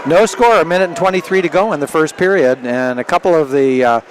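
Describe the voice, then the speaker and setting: An older man giving live radio wrestling commentary, chatting in a halting, conversational way between calls of the action. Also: a little high, some chatter behind. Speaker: play-by-play wrestling commentator, former wrestler and referee. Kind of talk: live radio sports commentary with casual banter. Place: indoor sports arena. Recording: noisy live arena broadcast. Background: loud venue noise.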